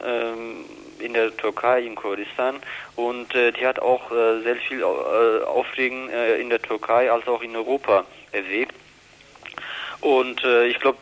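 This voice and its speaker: A man answering as an interview guest, halting and searching for words with many hesitations. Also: medium pitch, a bit bright, low-quality recording. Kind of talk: unscripted political interview.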